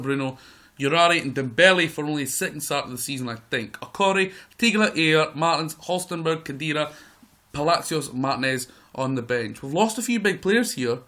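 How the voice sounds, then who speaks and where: A man with a croaky voice giving excitable live play-by-play commentary over a football management game. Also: fairly high pitch. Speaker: gaming video host.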